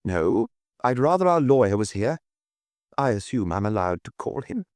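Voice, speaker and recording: A man's voice: medium pitch, very animated, moderate pace, darkish tone, no echo, close to an everyday voice. A man voicing a shy, stiff, indignant husband in an audiobook, hesitant and strained as he forces himself to object. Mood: stubborn.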